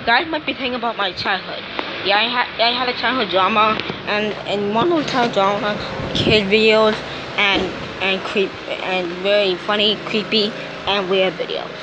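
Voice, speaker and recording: A woman with a mid-range voice chats to viewers in a halting, repetitive way. It is a home vlog with clear background noise. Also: medium pitch, fairly even intonation. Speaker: video maker. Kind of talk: casual vlog talk to viewers. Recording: noisy handheld home recording.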